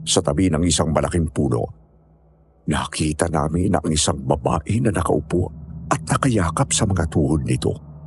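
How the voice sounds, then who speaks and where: A man's voice: rumbling low, darkish tone, slight background noise. A man with a Filipino accent playing a hiker who narrates an eerie mountain tale in scripted first person. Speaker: story narrator.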